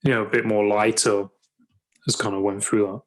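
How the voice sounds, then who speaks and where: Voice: mid-range voice, flat delivery, moderate pace, rough recording. A podcast host in casual interview chat, speaking hesitantly and searching for words. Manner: hesitant, full of fillers.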